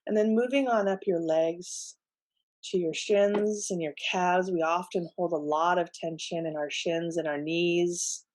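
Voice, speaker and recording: A woman leading a guided relaxation in a gentle, unhurried, soothing manner with pauses between instructions. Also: on the low side, fairly even intonation. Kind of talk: guided meditation, softly instructive.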